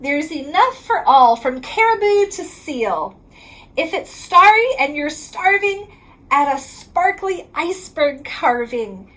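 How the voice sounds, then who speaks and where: A woman with a very high voice reads a children's picture book aloud. She goes slowly and in a sing-song rhythm, stretching the ends of lines, and her pitch moves up and down in a lively way. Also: rough recording. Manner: sing-song rhyming delivery, drawn-out line endings. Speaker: reading specialist reading aloud to children.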